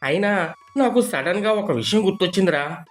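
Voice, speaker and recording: A man's voice: high voice, animated, normal speed, faint music behind. A man voicing a cowardly, falsely concerned brother-in-law in an exaggerated, flustered way for a moral story. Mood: flustered, evasive. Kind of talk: dramatized moral story acting.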